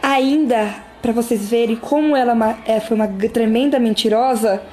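A woman's voice: fairly high pitch, normal speed, mellow, slight background noise, lightly acted. A woman playing an emphatic, wronged young wife in an amateur radio drama. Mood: outraged, defiant. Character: young wronged wife, insecure turned defiant.

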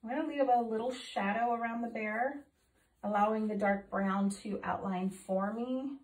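A woman's voice: fairly high pitch, fairly even intonation, normal speed, a little mellow. A middle-aged woman explaining a painting project as she works, chatty and full of ums, her voice a little off from recent illness. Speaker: art teacher demonstrating painting. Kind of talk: casual painting tutorial. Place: home art studio room. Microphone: phone camera overhead, speaker off to the side. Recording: echoey room, voice off-mic.

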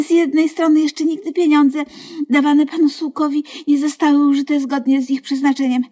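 A woman's voice: sits high, a little slow, long pauses, darkish tone. An actress playing a naive, devoted older woman in a radio comedy, effusive, fussy and hopeful, with hesitant, coaxing phrasing. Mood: excited, fretful. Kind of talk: Polish comedy radio play acting.

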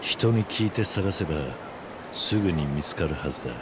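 ゲームのカットシーンで、落ち着いて凄みのある、ぶっきらぼうな中年男を演じる男性俳優。. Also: male voice, deep voice, normal speed, noisy background, close to an everyday voice. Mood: calm, helpful. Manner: gruff, terse, composed. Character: tough middle-aged man, calm, stern, quietly threatening fighter. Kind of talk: video game cutscene acting.

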